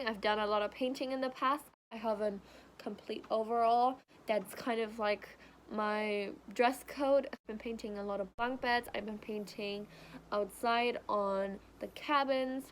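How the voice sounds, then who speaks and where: A woman's voice: mid-range voice, rough recording. A young woman from Germany with a German accent, chatting casually in a vlog and rambling with lots of fillers. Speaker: vlogger. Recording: faint background noise.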